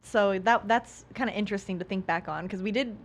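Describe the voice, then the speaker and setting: A woman's voice: medium pitch, a little fast. A woman from Japan appearing as a guest in a casual chat, speaking in an easygoing way with frequent "um" and "like.".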